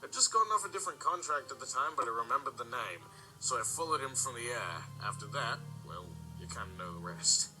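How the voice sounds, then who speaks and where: An actor playing a dutiful, wary hired tracker in an audio drama, earnest and plain-spoken. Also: male voice, mid-range voice, lively intonation, quick pace, pushed and bright, soft background music, low-quality recording.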